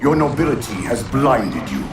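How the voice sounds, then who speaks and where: An actor playing an ancient, vengeful alien warlord in a video game, speaking slowly with grand contempt in a deep, gravelly voice. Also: male voice, big pitch swings, lightly acted. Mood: menacing. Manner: slow, grandiose, disdainful speech. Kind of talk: video game cutscene acting. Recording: echoey, distant, loud music behind.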